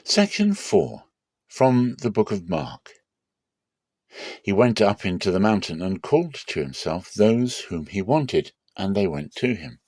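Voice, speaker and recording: A man giving a dramatic, solemn scripture reading with measured, deliberate phrasing. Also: on the low side, big pitch swings, a little mellow, dry recording, studio quality. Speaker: Bible reader.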